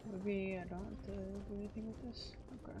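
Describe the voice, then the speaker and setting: A woman's voice: mid-range voice, little pitch movement, fairly quick, heavy background noise. A woman streaming a video game with casual, offhand, playful reactions, sometimes sing-song. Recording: loud game music and sounds behind.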